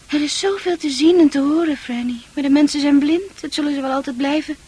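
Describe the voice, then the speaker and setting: High-voiced, dreamy young woman played by an actress. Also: steady tone, a little fast, mellow, natural voice. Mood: pensive, intense. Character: dreamy, sensitive, troubled young female confiding her secrets. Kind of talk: Dutch radio play acting.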